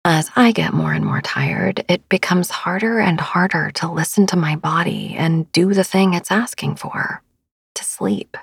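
A woman narrates a sleep meditation podcast in a hushed, soothing manner. Her voice sits a little low, with a husky grain and frequent fry at the edges. The sound is close and dry. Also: a little slow, no room sound. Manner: soothing, hushed, partly whispery delivery. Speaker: podcast host.

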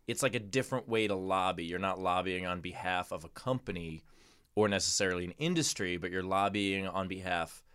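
A man's voice: deep voice, fairly quick, fairly bright tone, clean tone, dry recording. A man hosting a casual interview show in a studio, talking conversationally and reworking his sentences as he goes. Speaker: podcast host and interviewer.

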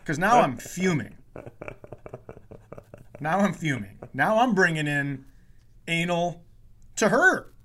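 A man's voice: on the high side, very animated, slow pace, long pauses, pushed and bright. A man in his forties telling comic stories as a podcast host, in an exasperated, profane, ranting manner. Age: 40s. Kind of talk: comedic storytelling in casual chat.

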